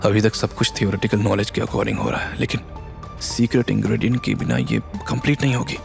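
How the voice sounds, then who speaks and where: A man narrating an audio drama in a steady, scripted storytelling style. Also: lowish voice, a little fast, gravelly. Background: faint music.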